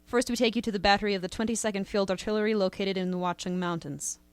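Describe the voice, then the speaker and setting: A woman playing a brisk, serious news announcer in a 1930s-style radio drama, reading bulletins in a formal, measured way. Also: medium pitch, fairly even intonation, clean tone, no echo, natural voice. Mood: serious, urgent.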